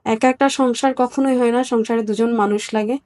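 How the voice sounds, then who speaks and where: A woman with a Bangladeshi accent speaks as an interview guest. Her voice is on the high side, and she talks candidly and reflectively, often repeating a phrase to stress it. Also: steady tone, a little fast, darkish tone. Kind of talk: personal, conversational interview answers.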